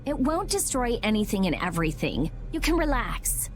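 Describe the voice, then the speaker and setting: A woman voicing a blunt, brainy teenage girl researcher in a video game, brisk and matter-of-fact. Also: mid-range voice, very animated, faint music behind. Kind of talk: video game dub acting.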